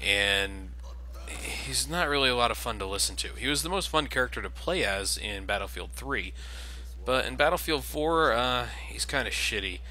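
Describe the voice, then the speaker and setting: A man's voice: medium pitch, expressive, normal speed, pushed and bright. A man giving sarcastic, casual commentary over a video game. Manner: sarcastic, mocking. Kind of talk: casual let's play commentary.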